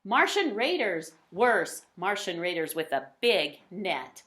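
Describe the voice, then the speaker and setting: A woman reading a children's picture book aloud, with dramatic emphasis and drawn-out words. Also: lowish voice, big pitch swings, fairly bright tone. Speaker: read-aloud storyteller.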